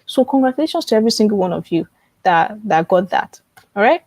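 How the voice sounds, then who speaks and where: A woman's voice: medium pitch, expressive, fast, a little mellow, no echo. A woman teaching and promoting informally on a live webinar, often repeating phrases and checking in with her viewers. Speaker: online publishing coach hosting a live webinar.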